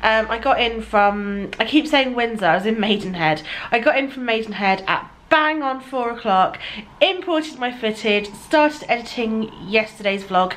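Female vlogger, southern English, mid-pitched, informal and chatty, recorded on a handheld camera with slight noise. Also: a bit bright, rough recording. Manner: informal, rambling, emphatic. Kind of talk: casual chatty vlog. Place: parked car, then living room at home. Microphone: handheld camera at arm's length.

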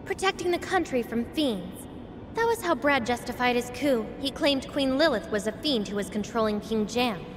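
An earnest, feisty young heroine from a video game, acted by a woman. Her high voice moves expressively up and down. It carries a long reverb over music. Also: expressive, moderate pace, close to an everyday voice. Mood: troubled. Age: young adult. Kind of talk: video game cutscene acting.

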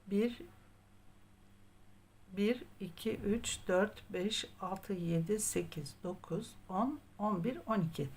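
A woman with a mid-range voice teaches a craft as a tutorial host. She goes step by step, patient and methodical, in the manner of someone guiding viewers through handwork. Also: mid-range voice. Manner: patient, methodical, often counting aloud.

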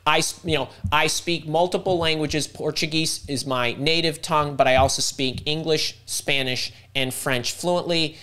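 A middle-aged man hosting a live career workshop over a video call, talking fast and interrupting himself as he thinks aloud. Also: a little high, steady tone, bright, forceful tone. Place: home room indoors. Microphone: video call webcam.

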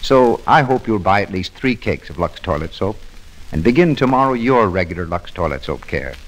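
Old time radio ad guy, low voice, polished and formal. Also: sing-song, normal speed, low-quality recording. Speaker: announcer. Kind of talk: 1940s radio advertisement.

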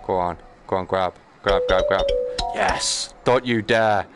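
A man's voice: deep voice, unhurried, music in the background. A man giving casual live game commentary, slangy and sweary, muttering to himself and breaking into exclamations. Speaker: game streamer.

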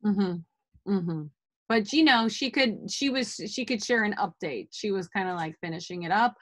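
A woman's voice: medium pitch, moderate pace, a bit bright. A woman in her thirties teaching a class over a video call from home, talking casually with many fillers. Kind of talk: online class instruction. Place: home room with art on walls. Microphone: laptop webcam video call.

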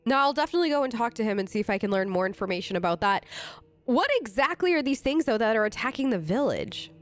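A woman's voice: fairly high pitch, lively intonation, fast talker, no room sound. A woman role-playing through a video game, speaking chattily in informal, in-character replies. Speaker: let's player.

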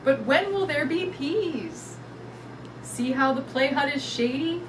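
A middle-aged woman reading a picture book aloud to young children in an animated, sing-song way. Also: high-pitched, takes it slowly. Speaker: storytime reader. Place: indoors in front of a curtain backdrop. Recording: distant mic with clear background noise.

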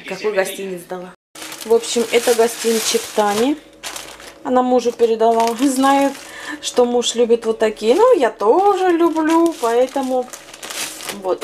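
A woman in her forties, a little hoarse, chatting casually to her phone camera at home on her balcony. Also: fairly high pitch, normal speed, noisy background. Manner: chatty, cooing to her pet. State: slightly hoarse. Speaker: vlogger. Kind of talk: casual vlog chat to camera. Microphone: handheld phone camera.

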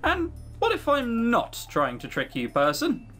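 A let's player puts on a centuries-old demon woman from a game, bored and gleefully mocking. The delivery is grand and teasing, with a pitch that swoops about over background music. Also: wildly swooping pitch, measured pace, a bit bright. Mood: teasing, curious. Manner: teasing, grandiose, drawling for effect.

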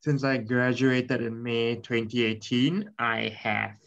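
A man's voice: medium pitch, fairly even intonation, takes it slowly, vocal fry, fairly bright tone. A man in his twenties from Singapore, introducing himself on a video call, thinking aloud. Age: 20s. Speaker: panelist. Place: room indoors. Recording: slightly dull call audio.

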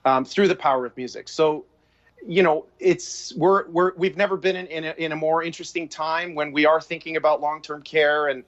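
A Canadian man in his forties talks reflectively over a video call from home, circling back and restarting his thoughts. His voice sits a little high for a man. The sound is dull and lo-fi. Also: fairly even intonation, moderate pace, fairly bright tone. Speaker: film director guest. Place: home room with shelves.

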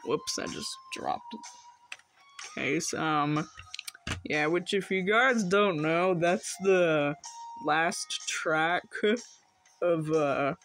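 A vlogger giving casual, rambling unboxing commentary in an offhand, halting way. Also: mid-range voice, a bit bright, faint music behind. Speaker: video host showing flea market finds.

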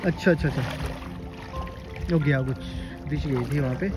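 A man vlogging while wading along a shore at night, chatting excitedly and a little nervous, filmed on a phone. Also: fairly high pitch, normal speed, loud music behind the voice. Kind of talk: casual vlog commentary.

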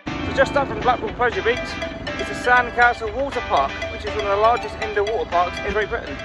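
A man's voice: high voice, a little fast. A man in his thirties narrating a running vlog outdoors on a seaside promenade, chatting like a tour guide into an action camera. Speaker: running vlogger. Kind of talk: casual travel vlog narration. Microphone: action camera held or mounted while running. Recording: loud background music.

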